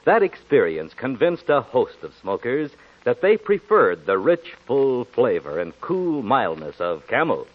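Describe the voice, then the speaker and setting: A man announcing a scripted 1940s radio commercial as a pitchman. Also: sing-song, measured pace. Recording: dull vintage 1940s radio recording.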